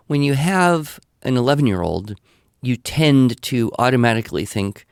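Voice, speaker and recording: A man's voice: mid-range voice, expressive, moderate pace, clear, resonant tone, dry recording, clean studio sound. An older man with an American accent giving conversational advice in a reassuring, explanatory manner. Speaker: podcast expert answering listener questions.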